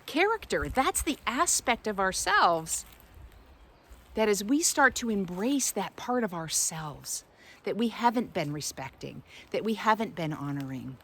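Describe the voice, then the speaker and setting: A woman giving an unscripted, reflective inspirational talk as a spiritual guide, often pausing mid-phrase to find her words. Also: mid-range voice, a bit bright.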